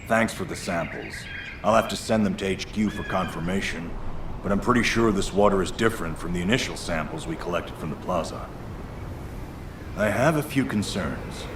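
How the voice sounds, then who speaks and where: An actor playing an earnest, concerned field officer in a video game, explaining things politely. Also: male voice, low voice. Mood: businesslike. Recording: roomy echo, noise behind.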